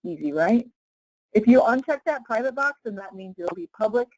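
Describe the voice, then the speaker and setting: A woman leading a casual live software tutorial as a trainer, explaining step by step in an informal way with frequent check-in questions. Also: on the high side, animated, moderate pace, dry recording, muffled recording.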